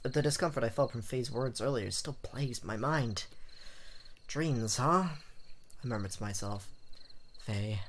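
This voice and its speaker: A man's voice: medium pitch, slight background noise. A man doing a let's play, reading visual novel narration aloud in a theatrical, dramatic way with joking asides. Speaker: gaming YouTuber. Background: game music.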